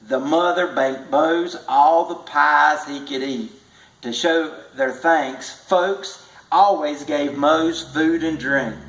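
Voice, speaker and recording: Guy reading a kids tall tale out loud, really slow n measured, echoey room. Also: fairly high pitch. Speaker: storybook reader. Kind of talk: read-aloud tall tale narration.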